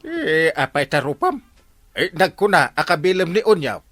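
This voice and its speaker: An exasperated village captain in an Ilocano radio comedy. His slightly high voice leaps all over the place as he scolds and sputters, recorded very dry. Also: normal speed, no room sound, soft background music. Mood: firm, admonishing. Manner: animated, sputtering, repeats exclamations.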